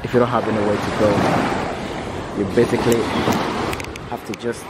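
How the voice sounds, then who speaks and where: A man's voice: mid-range voice, flat delivery, a little fast. A young man casually vlogging outdoors on a beach, holding the phone at arm's length and rambling with lots of "you know". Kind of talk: casual selfie vlog. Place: sunny open beach by the sea, outdoors. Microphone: phone camera at arm's length. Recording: outdoor phone audio with loud wind and surf. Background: loud wind and surf.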